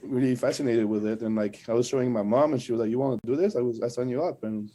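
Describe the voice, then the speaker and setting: A man with a French accent speaks in a mellow, relaxed voice at a medium pitch. He is an interview guest, recounting his experiences in loose, conversational English. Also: quick pace. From France and French-speaking Switzerland. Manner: conversational storytelling with frequent "like". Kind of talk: casual interview chat.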